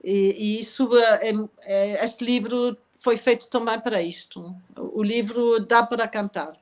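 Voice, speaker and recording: A woman author, a lingering cough after an illness, talking calmly about poetry and reading gently from her novel. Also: on the high side, measured pace. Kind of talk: conversational interview, then reading aloud from her novel.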